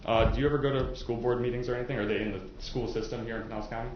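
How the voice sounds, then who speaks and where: A man's voice: medium pitch, fairly even intonation, racing. A man questioning jurors as a lawyer in a courtroom, speaking politely and conversationally with some hesitant phrasing. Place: indoor courtroom. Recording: echoey, dull courtroom audio, faint noise.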